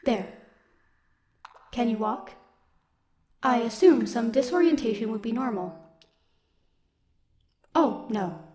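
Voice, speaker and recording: Woman playing a formal, higher-voiced stoic robot companion. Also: animated, crowd noise. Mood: composed, caring. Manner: formal, measured, literal wording. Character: stoic, dutiful female military robot, gently protective. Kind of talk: scripted audio roleplay, speaking softly to one listener.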